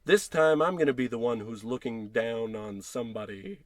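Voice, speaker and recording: A man's voice: medium pitch, moderate pace, heavy background noise, lightly acted. A man playing a paranoid, unraveling husband in old-time radio suspense style, theatrical, brooding and sardonic. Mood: seething, menacing. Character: paranoid husband unraveling over a piggy bank.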